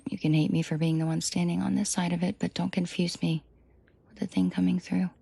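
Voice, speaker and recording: A woman playing a commanding, protective and seductive mafia boss in an intimate audio roleplay, speaking in a breathy, controlled murmur that often drops to a half-whisper. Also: fairly low pitch, level tone, mellow, no room sound, heavily acted. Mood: firm, protective. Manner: slow, deliberate, controlled murmur addressed closely to listener.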